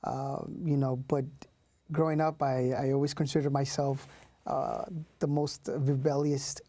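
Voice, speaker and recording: A man's voice: mid-range voice, level tone, unhurried, mellow, no room sound. A man in his thirties, born in Puerto Rico, talking casually as an interview guest with many fillers and restarts. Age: 30s. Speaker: youth leader.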